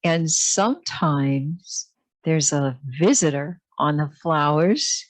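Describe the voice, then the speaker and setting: Woman, podcast host, slow measured talk, effusive praise, dry close sound. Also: sits low, expressive, no echo. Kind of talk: interviewing a guest.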